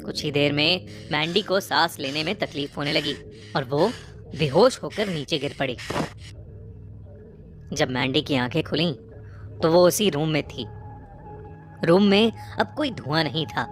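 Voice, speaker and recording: A woman narrating a scripted horror story in a measured, suspenseful way, phrase by phrase. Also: low voice. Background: prominent music bed.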